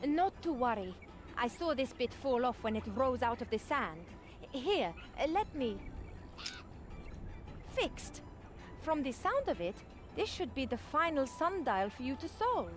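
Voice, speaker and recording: A woman's voice: high voice, big pitch swings, unhurried. A woman playing a proud, easily exasperated young desert princess in a video game, with formal, scolding phrasing. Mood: composed.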